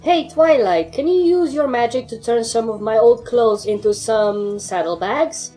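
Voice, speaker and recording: A woman's voice: a little high, unhurried, dark tone, faint music behind, pitched above the performer's own voice, lightly acted. A woman voicing an assertive, sarcastic female pony adventurer in a fan fiction story, with a dry, bossy manner. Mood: brisk, bossy.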